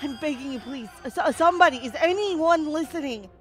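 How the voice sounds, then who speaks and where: A woman's voice: sits high, animated, a little fast, a bit bright, soft background music. An actress playing a fierce, foul-mouthed, hopeful woman pilot in an apocalyptic sci-fi audio drama. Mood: desperate, pleading. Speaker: voice actor.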